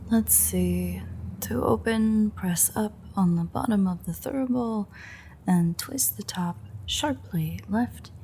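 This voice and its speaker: A woman's voice: mid-range voice, slow pace, dark tone, a little hiss or hum. A woman playing a sardonic, threatening female vampire with a secret fondness, in a wry, teasing, half-whispered audio roleplay. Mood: dry reading aloud. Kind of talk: intimate audio roleplay acting.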